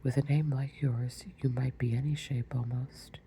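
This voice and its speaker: A woman's voice: very deep voice, little pitch movement, normal speed, dark tone, a little hiss or hum. A woman whispering as Humpty Dumpty, pompous egg. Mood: haughty. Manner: proud, argumentative. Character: pompous touchy egg man on a wall. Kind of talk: whispered storybook reading.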